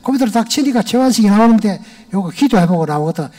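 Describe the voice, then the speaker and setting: A man's voice: sits high, lively intonation, fast talker, dark tone. An older man with a Gyeongsang accent, a retired church elder giving a folksy, homespun personal testimony to a congregation in a large church sanctuary. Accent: Gyeongsang Korean.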